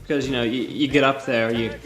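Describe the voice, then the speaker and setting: A man's voice: medium pitch, fairly even intonation, fast, over music. A young man, a drama club student, talking earnestly and upbeat about his club. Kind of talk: casual recorded testimonial. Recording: echoey room.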